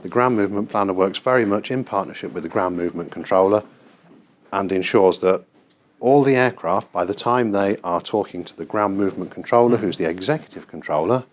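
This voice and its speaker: A middle-aged British man gives an explanatory guided tour in a measured, precise, emphatic way. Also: deep voice. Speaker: air traffic control manager and tour guide. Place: large airport control tower room, indoors. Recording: clear ambient noise of a working control room. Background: control room ambience.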